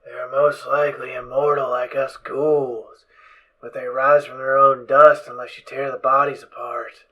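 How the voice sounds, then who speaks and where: A man playing a distracted, brainy ghoul pony scientist in an amateur audio drama, lecturing in a brisk, absorbed way. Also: medium pitch, level tone, dull audio, lightly acted. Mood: grim storytelling.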